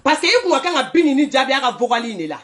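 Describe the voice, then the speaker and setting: A woman in casual online commentary, her fairly high voice swinging widely in pitch. She talks animatedly and scolds, often breaking into laughter. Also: very animated, rapid-fire, fairly bright tone. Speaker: social media commentator.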